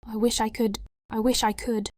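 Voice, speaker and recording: A sweet, plaintive British young girl, played by a woman narrator in a fairy tale audiobook. Her voice is high, meek and humble. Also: little pitch movement, moderate pace, darkish tone, no echo, studio quality, close to an everyday voice. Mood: tearful, wistful. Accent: British English. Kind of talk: storybook audiobook acting.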